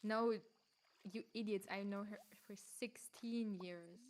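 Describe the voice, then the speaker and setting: A woman with a German accent whose first language is German, chatting on a live stream. She talks in an animated, joking way, with frequent laughs and casual swearing. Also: medium pitch, slow pace.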